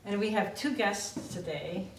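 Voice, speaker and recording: A woman's voice: mid-range voice, a little slow, creaky. A woman college instructor introducing guests to her class in a plain, organized way. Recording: echoey classroom from far off, faint noise.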